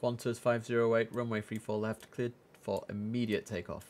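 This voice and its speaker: A man's voice: mid-range voice, flat delivery, moderate pace, a little mellow. A young man muttering casual gaming commentary to himself, switching into clipped air traffic controller phrases. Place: indoor home streaming setup. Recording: noisy room with keyboard clicks.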